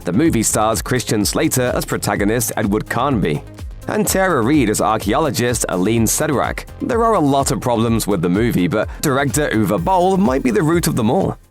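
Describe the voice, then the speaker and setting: A man delivers scripted video essay narration with a sardonic, emphatic edge. His pitch moves around in a lively way as he stresses key words. Music plays quietly underneath. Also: normal speed. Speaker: voiceover narrator. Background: background music.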